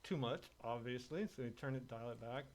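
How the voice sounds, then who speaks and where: A man's voice: medium pitch, brisk, a little mellow. A man chatting casually and with slangy, sweary informality as he demonstrates a guitar and production setup. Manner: slangy and sweary, laid-back bro talk. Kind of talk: casual chat, informal music tech demo.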